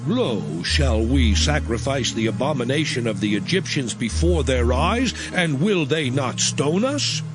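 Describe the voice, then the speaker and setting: A man acts as a solemn prophet confronting a king in a dramatized scripture reading. His delivery is slow and declamatory, with wide swings of pitch, over prominent music. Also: lively intonation, close to an everyday voice. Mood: firm, insistent. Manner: declamatory, commanding, deliberate. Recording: music behind the voice.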